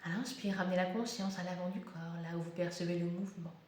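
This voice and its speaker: A woman guiding a yoga meditation in calm, deliberate short phrases with pauses between instructions. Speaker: yoga nidra guide. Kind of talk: guided meditation, slow soothing instruction. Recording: very echoey room, faint rumbling noise.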